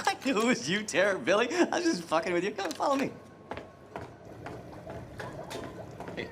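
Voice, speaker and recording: Game casting: a paranoid American ex-lawyer, middle-aged, rambling, profane, wildly animated in pitch. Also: male voice, quick pace, noisy background. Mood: amused, wistful. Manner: rambling, excitable, sweary, emphatic. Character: manic, foul-mouthed American conspiracy theorist and ex-lawyer. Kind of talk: video game cutscene acting.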